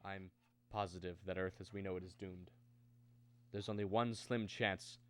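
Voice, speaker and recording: A man's voice: sits low, fairly even intonation, normal speed, background noise, lightly acted. An actor playing a desperate, hunted man warning of invasion, with intense 1950s-style radio drama delivery. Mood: grim, foreboding. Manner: melodramatic, intense.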